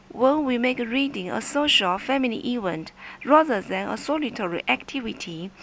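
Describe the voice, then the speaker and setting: A woman's voice: a little high, fairly bright tone, rough recording. A woman reading a scripted informational narration. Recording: noticeable background noise.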